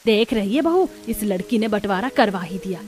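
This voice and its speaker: A woman's voice: medium pitch, lively intonation, moderate pace, darkish tone, a little hiss or hum, lightly acted. A woman voicing a spiteful, scolding older mother-in-law in a cartoon family drama. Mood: bitter.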